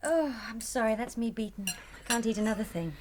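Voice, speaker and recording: An actress playing a perceptive grown-up daughter in a radio drama, young, British, polite but pointed. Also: female voice, fairly high pitch, expressive, a little fast, heavy background noise, lightly acted. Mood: politely excusing. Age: young adult.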